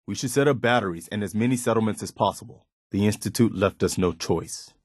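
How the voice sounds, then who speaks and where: Earnest low-voiced militia man played by an actor. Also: fairly quick, dry recording, clean studio sound, lightly acted. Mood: earnest, thoughtful. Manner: earnest, plain-spoken, conversational. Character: earnest, idealistic militia soldier, loyal and principled man. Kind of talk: video game companion dialogue, naturalistic acting.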